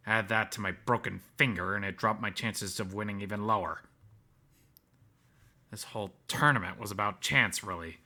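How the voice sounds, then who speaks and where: A man voicing a tense, determined male bat archer, quietly narrating his thoughts in a visual novel. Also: fairly low pitch, exaggerated voice.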